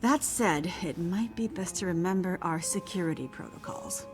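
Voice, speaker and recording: A slightly low older woman's voice over faint music as an actress plays her. She is a guarded scientist and mentor in a game cutscene, choosing formal, precise words with care. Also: close to an everyday voice. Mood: grave, cautious. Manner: measured, formal, precise wording. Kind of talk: video game cutscene acting.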